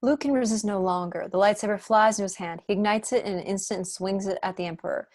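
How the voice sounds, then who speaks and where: A woman's voice: medium pitch, fast, a little mellow. A young adult woman reading scripted stage directions as narrator at an online table read. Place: room at home, video call.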